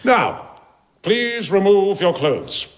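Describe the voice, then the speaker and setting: A man's voice: high voice, very animated, slow pace, slight background noise, exaggerated voice. An actor playing an officious male civic official in a radio drama, with a pompous, formal, commanding manner.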